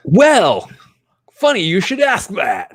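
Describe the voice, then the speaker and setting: A man's voice: high-pitched, huge pitch swings, takes it slowly. A man hosting a casual live stream, joking around and rambling through plugs. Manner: jokey, rambling, upbeat plugging. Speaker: podcast host.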